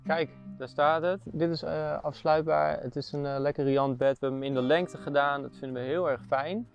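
A young Dutch man giving a relaxed, chatty tour of the camper van he lives in, with many hesitations. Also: fairly high pitch, moderate pace, vocal fry, muffled recording, soft background music. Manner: relaxed, hesitant with many fillers, laughs often. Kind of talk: casual tour chat. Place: inside a small camper van, outdoors by it.

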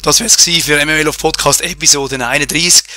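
Casting: hoarse male podcast host, bright tone, dry sound. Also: fairly high pitch, brisk, no room sound. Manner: relaxed, lots of fillers and repeats. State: slightly hoarse. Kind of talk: casual interview and show outro.

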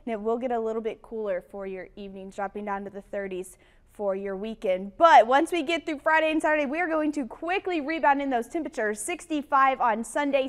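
A young woman meteorologist delivering a brisk, upbeat broadcast weather forecast from a TV studio on a lapel mic. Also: fairly high pitch, fairly bright tone. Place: TV studio in front of weather graphics.

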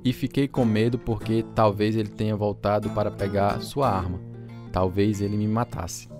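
A male actor plays an earnest young man in an audio drama, recounting how his life changed. His voice sits at a middling male pitch, with plucked guitar music plainly audible behind it. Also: little pitch movement, moderate pace, natural voice. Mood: reflective. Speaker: narrator and lead actor. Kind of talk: audio drama narration and acting.

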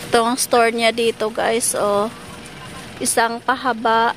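A Filipino woman in her thirties talks slowly and chattily to her viewers, calling them "guys" as she shops. Her voice sits a little high. A phone catches it over the clear bustle of a street market. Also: little pitch movement. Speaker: family vlogger. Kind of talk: casual walking vlog. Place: busy outdoor market and street. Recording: noisy street market recording.

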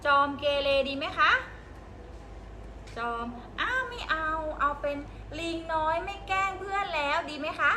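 Woman preschool teacher, high voice, patient prompting, roomy and noisy. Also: a little slow. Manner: patient, coaxing questions to small children. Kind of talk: guiding young children to make up a story. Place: classroom.

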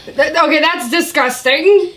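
A woman's voice: high voice, measured pace, fairly bright tone, slight background noise. A young woman chatting casually and playfully on a prank call, with a giggly manner. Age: young adult. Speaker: prank caller, YouTube vlogger. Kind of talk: casual prank phone chat.

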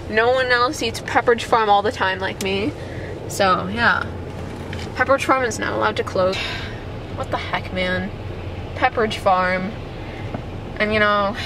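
A teenage girl filming herself on a handheld camera in a car and a store, delivering a deadpan, mock-tragic lament full of "you know"s. Her voice sits at a middle pitch, and heavy road and store noise runs under it. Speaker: vlogger. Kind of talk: mock-dramatic vlog. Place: car interior, then a store. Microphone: handheld camera at arm's length.

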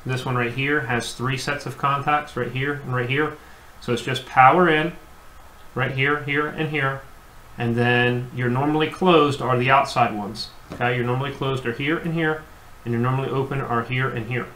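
A man's voice: medium pitch, steady tone, normal speed, fairly bright tone. A man, an HVAC technician, giving a hands-on demonstration at his workbench, explaining plainly and repeating himself with many fillers. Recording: echoey room, faint background hum.

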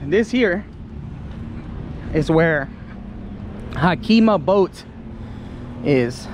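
A man's voice: on the high side, expressive, extremely slow. A man in his thirties with an American accent, chatting casually and in a friendly, colloquial way as a travel vlogger. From California, United States. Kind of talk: casual chat to camera and with locals. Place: outdoors by a river and in an open motorboat. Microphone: action camera at arm's length. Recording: noisy outdoor recording with engine and ambience.

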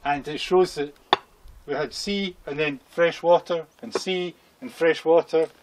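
Higher-voiced man explaining, noisy outdoor recording. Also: steady tone, unhurried. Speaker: geology guide. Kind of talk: informal explanation in the field. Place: outdoors at a quarry rock face.

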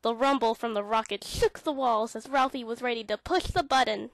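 High-voiced woman reading a children's storybook. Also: a little fast, low-quality recording. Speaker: children's book narrator. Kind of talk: read-along storybook narration.

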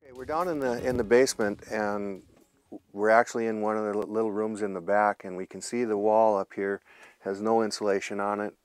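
A man's voice: lowish voice, fairly even intonation, brisk. A man casually explaining problems in a small basement room, hesitating often with ums and uhs.

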